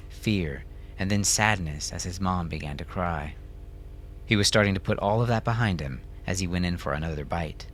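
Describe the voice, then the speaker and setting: A man's voice: deep voice, a bit bright, slight background noise. A man reading scripted fiction as an audiobook narrator.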